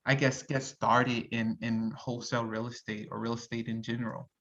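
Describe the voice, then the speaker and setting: A man's voice: mid-range voice, level tone, normal speed, a bit bright, muffled recording. A man hosting a casual interview over a call, encouraging his guest and often agreeing. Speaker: host.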